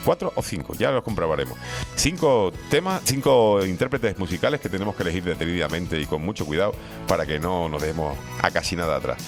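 A man's voice: sits low, lively intonation, quick pace. A man hosting a radio show, chatty and friendly, speaking from a studio. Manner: friendly, chatty, laughs easily. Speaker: radio host. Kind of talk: radio interview and sign-off. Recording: radio broadcast with music underneath. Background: music playing.

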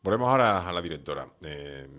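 A man's voice: low voice, lively intonation, fast, a bit bright. A middle-aged man who is not from the islands, hosting an interview in a talkative, opinionated way with many fillers. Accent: non-Canarian Spanish. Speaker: radio show host. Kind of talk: conversational interview.

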